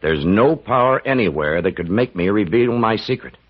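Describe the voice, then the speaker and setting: A man's voice: on the low side, animated, moderate pace. An actor playing a proud, stubborn older scientist and father in an old-time radio drama, defiant and declamatory. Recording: muffled vintage radio recording.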